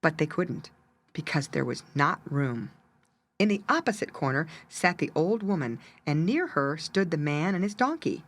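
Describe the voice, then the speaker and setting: Animated woman storyteller, lowish voice. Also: moderate pace. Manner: expressive, dramatic emphasis. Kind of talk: animated children's story narration.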